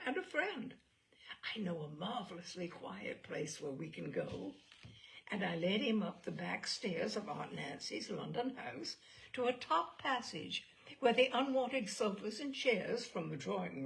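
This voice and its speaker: A woman reading literary memoir aloud in a measured, theatrical storytelling manner. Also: fairly high pitch, gravelly, low-quality recording. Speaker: reader of literary selections.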